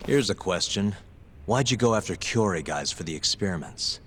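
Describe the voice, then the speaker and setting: An actor playing a shrewd, dogged investigator in a video game, cool and probing. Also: male voice, fairly low pitch, lively intonation, normal speed, noisy background, close to an everyday voice. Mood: probing, confident. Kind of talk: English video game cutscene acting.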